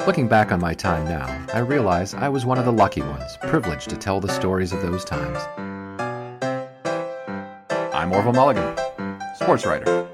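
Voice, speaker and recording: An actor playing a wry 1920s sportswriter, narrating an audio drama in a wordy, grandiose storytelling manner. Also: male voice, low voice, big pitch swings, a little fast, loud music behind the voice. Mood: wry, reminiscing.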